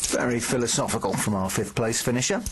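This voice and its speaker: A man commentating on a poker final in a measured, wry way. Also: medium pitch, noisy background. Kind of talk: live commentary.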